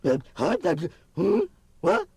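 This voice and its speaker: An actor playing a goofy, mischievous talking cartoon dog with growly, garbled speech in a 1970s children's audio drama. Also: male voice, high voice, wildly swooping pitch, slow, gravelly, full character voice. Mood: groggy, confused. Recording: vintage record with music behind.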